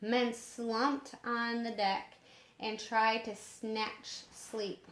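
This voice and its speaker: A woman reading a story aloud in a measured way, sometimes stumbling over harder words, in an echoing room. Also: on the high side, little pitch movement, a bit bright. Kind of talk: storybook narration. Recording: roomy echoing home recording.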